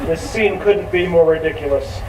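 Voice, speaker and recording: A man's voice: on the high side, normal speed, lightly acted. A man playing a wistful, wry musical-theater fan who chats to the audience in asides, in a community musical. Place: outdoor amphitheater stage. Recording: distant, echoey outdoor stage with noise.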